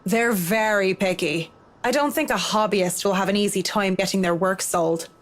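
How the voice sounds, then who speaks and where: An actress playing a reserved, blunt professional woman in a horror visual novel, speaking in a measured, dry way. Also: mid-range voice, natural voice. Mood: dry, cutting.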